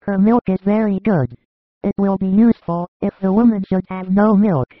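A reader voicing a kind-hearted, gentle shepherd in a dramatized story reading. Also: mid-range voice, steady tone, normal speed, dry recording, lightly acted. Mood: tender. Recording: tinny phone-like recording.

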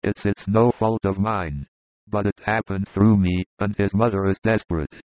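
A man reading aloud and voicing a rough, desperate Roman soldier in a lower, harsh voice. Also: fairly even intonation, normal speed, no echo, lightly acted. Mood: urgent. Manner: harsh, insulting, urgent. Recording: dull lo-fi dry recording.

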